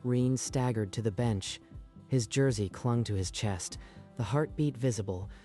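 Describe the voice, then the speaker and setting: A man's voice: medium pitch, a little slow, mellow, soft background music. A man narrating a dramatic story from a script in a storytelling style.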